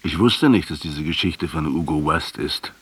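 A man's voice: a bass voice, moderate pace, a bit bright, breathy edge, heavily acted. An actor playing a skeptical history professor in a radio drama, articulate, probing and accusatory as he challenges his opponent. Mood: defensive.

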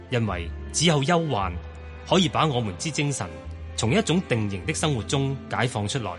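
Man giving a solemn formal reading. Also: medium pitch. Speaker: reader of a historical text.

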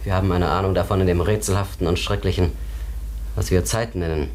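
Deep-voiced contemplative man played by an actor. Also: fairly even intonation, a little mellow, natural voice. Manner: deliberate, contemplative, explanatory. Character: philosophical man pondering another world, thoughtful companion. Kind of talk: literary German radio drama acting.

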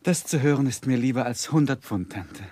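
An actor playing an earnest, sensitive young gentleman who narrates his life in a 1960s German radio play, measured and heartfelt. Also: mid-range voice, breathy edge, dry recording, close to an everyday voice. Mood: ardent, earnest. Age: young adult.

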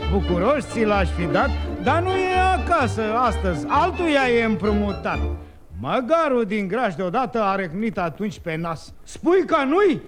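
A wily Turkish trickster from a vintage radio comedy. His voice sits high and he spins rhymed tales in an excitable sing-song, full of exclamations. Faint music is in the background. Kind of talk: 1950s radio comedy acting, rhymed storytelling.

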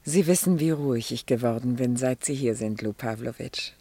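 Middle-aged mother, gentle, anxious, breathy, hesitant, radio drama, dry close sound. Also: rumbling low, normal speed, no room sound, exaggerated voice. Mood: confiding. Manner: soft, hesitant, genteel. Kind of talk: German radio drama acting.

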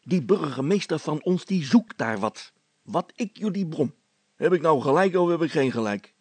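A man's voice: fairly high pitch, animated, moderate pace, a little mellow, slightly rough, dry recording, pitched above the performer's own voice. A man voicing a good-natured, proud village policeman with a folksy, rambling, hesitant way of talking in a comic story. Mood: conspiratorial. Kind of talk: character voice in a read-aloud comic story.

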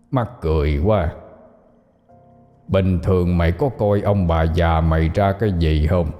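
A man voicing a menacing, mocking demon in a horror story, hoarse and rasping, taunting in a Southern Vietnamese accent. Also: low-pitched, warm tone, long reverb tail. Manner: slow, drawn-out taunting with crude insults. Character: gloating male long-necked demon, menacing and mocking.

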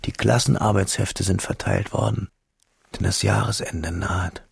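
An actor playing a reflective man who narrates his schoolboy memories in a radio drama, in a measured, intimate, literary manner. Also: lowish voice, steady tone, vocal fry, slightly rough, dry recording, clean studio sound, exaggerated voice. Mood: pensive. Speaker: first-person narrator.

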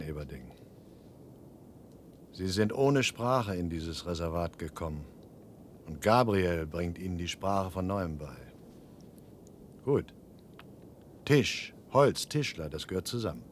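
A man's voice: low-pitched, lively intonation, unhurried, long pauses, slight background noise. An actor playing a stern, probing man in a radio drama, terse and insistent in his questioning. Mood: grim, probing.

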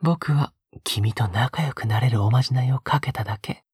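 An actor playing a doting, possessive young man in an intimate audio drama, teasing and cooing in a breathy voice that often drops into whispers. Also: medium pitch, moderate pace, a little mellow, dry recording, studio quality. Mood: coaxing, possessive.

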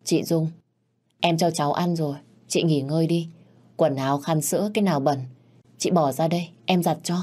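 A woman voicing a caring sixteen-year-old sister who speaks gently and earnestly. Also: low-pitched, steady tone, moderate pace. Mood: tender.

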